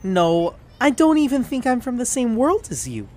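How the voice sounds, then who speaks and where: Casting: woman as eager young cartoon boy, earnest and plucky, highish voice, very lively intonation. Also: moderate pace. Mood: confused, earnest. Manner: excitable, earnest. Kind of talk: cartoon audio drama acting.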